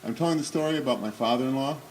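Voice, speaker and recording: A man in his sixties with a booming voice, giving an unscripted talk at a remembrance service with many pauses and fillers, then reading memoir excerpts aloud. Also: a little mellow, a little hiss or hum. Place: synagogue hall. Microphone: speaking without a microphone.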